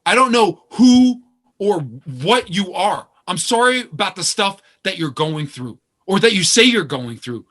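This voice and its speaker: A man acts out an uneasy, guilty-feeling man with a fairly high, sharp-edged voice. He is hesitant and apologetic but defensive, speaking as in a naturalistic stage play. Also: sing-song, a little slow, heavily acted. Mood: defensive, agitated.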